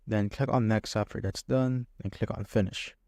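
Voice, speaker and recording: Male tutorial host, fairly low voice, casual instructional delivery, dry close-miked recording. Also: steady tone, normal speed, gravelly, a little mellow, dry recording. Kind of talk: casual step-by-step software tutorial. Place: indoors at a computer. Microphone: USB condenser microphone, close.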